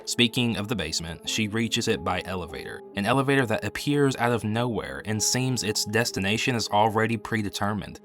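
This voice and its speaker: Guy doing a scripted horror video essay, lowish voice, bit of music under it. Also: expressive, fairly quick, few pauses. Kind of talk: scripted analytical narration.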